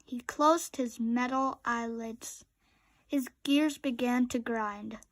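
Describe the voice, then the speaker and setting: A woman's voice: a little high, fairly even intonation, takes it slowly, no room sound. A woman reading a children's storybook aloud, chatting to young listeners between passages. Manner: asks young listeners questions. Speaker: storybook reader.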